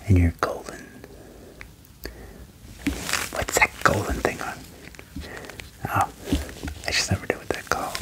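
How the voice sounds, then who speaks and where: A young man whispering gently into a close microphone in an ASMR medical roleplay, with short reassuring phrases. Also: unhurried, long pauses, poor audio. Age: young adult. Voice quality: whispered, breathy. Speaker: ASMR performer playing an alien doctor. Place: small wood-panelled room indoors. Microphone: close microphone with furry windscreen.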